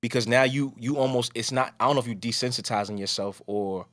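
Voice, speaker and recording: A man's voice: medium pitch, quick pace, a bit bright. A man hosting a podcast, talking casually with a strong voice, rambling and restarting his sentences. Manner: loose, rambling, with many restarts. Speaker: podcast host. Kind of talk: casual conversation.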